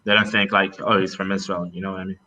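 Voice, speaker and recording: A man's voice: low-pitched, flat delivery, fast, sharp tone, dull audio. A young man talking casually as a podcast guest, hesitant, with many fillers and restarts. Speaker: podcast guest, travel video maker. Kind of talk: casual conversation.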